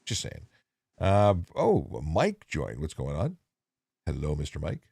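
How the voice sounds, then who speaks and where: A middle-aged man with a very low, gravelly voice hosts a casual livestream. He talks loosely and often stops to restart his sentences. The sound is dry and close. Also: huge pitch swings, a little mellow, no room sound. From Ontario. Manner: halting, with many restarts. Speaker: host of a police traffic Q&A livestream.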